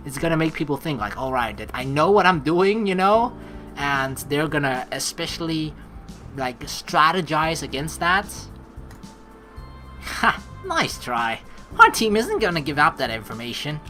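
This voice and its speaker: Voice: mid-range voice, moderate pace. A let's play host who chats casually and expressively while playing, and reads game narration aloud. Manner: expressive, chatty, swears casually. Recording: game music under the voice. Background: game music.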